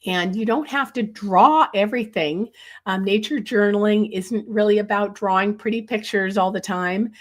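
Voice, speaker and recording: A middle-aged woman leads a live online nature sketching lesson from a bookshelf-lined room at home. Her voice is hoarse from a chest cold. She rambles, filling her sentences with ums and uhs. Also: medium pitch, normal speed.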